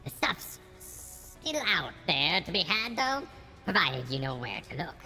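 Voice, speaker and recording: A man voicing a gruff old male dwarf mining chief with a folksy brogue, saying aye and lad. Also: on the high side, big pitch swings, measured pace, bright, forceful tone, big-room sound, faint music behind, pitched above the performer's own voice, full character voice. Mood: grumbling.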